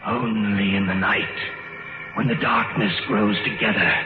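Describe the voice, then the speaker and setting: An actor playing an ominous shadow being, solemnly chanting prophecy in a 1950s radio horror style. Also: male voice, on the low side, steady tone, close to an everyday voice. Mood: solemn menace. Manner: slow, solemn, incantatory. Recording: muffled echoey vintage radio recording, music behind.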